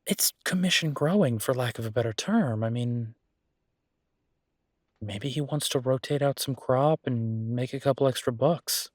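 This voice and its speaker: A man's voice: medium pitch, unhurried, no room sound, close to an everyday voice. Man acting easygoing boyfriend, close dry sound. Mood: musing, persuasive. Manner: casual, chatty, thinking aloud. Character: easygoing, affectionate male restaurant owner in the mountains. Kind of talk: boyfriend roleplay audio drama, one-sided conversation.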